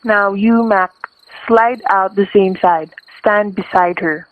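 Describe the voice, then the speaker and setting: A man's voice: high voice, steady tone, slow pace. An actor playing a cocky criminal gunman in a radio thriller, talking in clipped, sneering threats. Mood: cold threat. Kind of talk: vintage radio thriller acting. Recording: tinny, noisy old radio recording.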